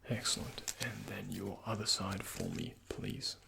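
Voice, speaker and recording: A man speaking softly and breathily in an ASMR roleplay as a doctor, giving gentle, courteous, unhurried instructions to a patient, close to the microphone. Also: lowish voice, fairly even intonation, background noise. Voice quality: breathy, often near-whispered. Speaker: ASMR performer playing a doctor. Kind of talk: soft-spoken ASMR medical roleplay, addressing the listener as a patient.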